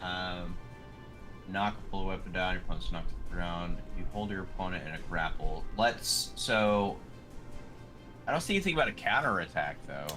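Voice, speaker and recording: A man's voice: sits low, animated, moderate pace. A man chatting casually as a player in a tabletop roleplaying game. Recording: music playing behind the voice. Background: music.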